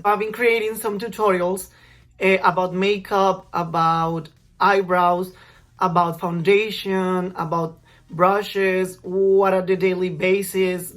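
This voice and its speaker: A man's voice: high voice, fairly bright tone. Colombian man chatting through a makeup tutorial. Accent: Colombian Spanish. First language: Spanish. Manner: chatty, slightly halting non-native English. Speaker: makeup vlogger. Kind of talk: casual makeup tutorial talk.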